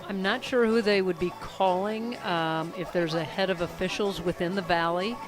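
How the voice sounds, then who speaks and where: A woman's voice: on the low side, fairly even intonation, moderate pace. A woman doing live sports commentary in a tentative way, thinking aloud as she goes. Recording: live sports broadcast with music behind.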